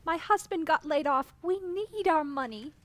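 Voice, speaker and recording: A woman's voice: high voice, moderate pace, natural voice. A woman playing a worried, modest small-town wife in a radio drama.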